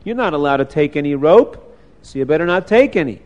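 A man's voice: fairly high pitch, animated, brisk, lo-fi sound, lightly acted. A man voicing a good inner conscience in a children's moral tale, admonishing and reasoning insistently. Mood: firm warning. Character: firm, cautioning good conscience in a boy's head.

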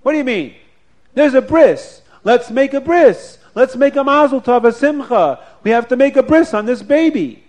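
A man voicing a learned, respected rabbi who insists loudly and rallies everyone around him. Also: high voice, big pitch swings, a little slow, long pauses, exaggerated voice. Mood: urgent, rallying. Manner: insistent, rallying the crowd.

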